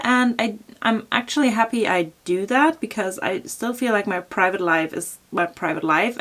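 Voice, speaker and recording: A woman from Germany with a German accent answering viewer questions in a casual fashion vlog, chatty and full of ums and likes. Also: mid-range voice. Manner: chatty, many ums and likes, laughs softly. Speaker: fashion YouTuber.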